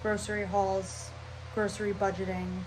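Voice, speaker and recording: A woman's voice: medium pitch, little pitch movement, normal speed, background noise. A young woman with a stuffy, nasal voice, rambling casually through her channel intro at home. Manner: scatterbrained, rambling, chatty. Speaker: vlogger.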